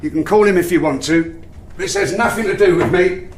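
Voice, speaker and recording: An actor playing a blunt, defensive man in an audio drama, gruff and matey. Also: sits high, brisk, very noisy, natural voice. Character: blunt, defensive man, unwelcome visitor with a key.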